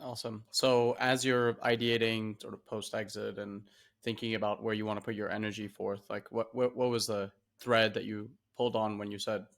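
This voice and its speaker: A man hosting an interview podcast, introducing his guest and asking relaxed questions with filler words. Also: fairly low pitch, moderate pace, vocal fry. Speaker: podcast host.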